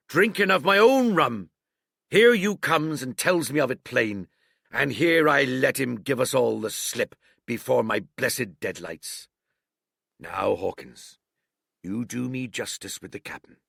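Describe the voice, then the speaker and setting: A hearty old one-legged cook, as a man puts him on, blustering. His voice swoops wildly and grinds with gravel. The sound is dry and close, with a bright, husky edge. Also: no echo, full character voice. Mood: confiding. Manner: blustering, booming sea-dog bluster.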